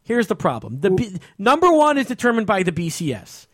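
A man's voice: high voice, huge pitch swings, fairly quick, fairly bright tone, no echo, clean studio sound. An American man on a casual sports talk show, ranting in an exasperated, emphatic way and repeating himself. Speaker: podcast host.

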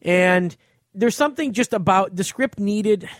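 A man with an American accent and a fairly high voice hosts a casual podcast. He talks in a conversational, profane way, reviewing as he chats. The sound is dry, with very little room around it. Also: measured pace, no echo. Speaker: podcast host. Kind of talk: casual movie review chat. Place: small podcast studio.

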